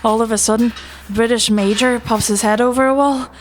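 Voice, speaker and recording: An actress playing a plucky, brisk courier for Irish rebels in an audio play, with an Irish accent. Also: female voice, fairly high pitch, steady tone, a little hiss or hum, close to an everyday voice. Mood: brisk, chatty.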